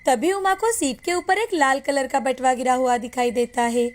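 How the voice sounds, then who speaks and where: A woman's voice: high-pitched, normal speed. A woman narrating a scripted moral story as the storyteller.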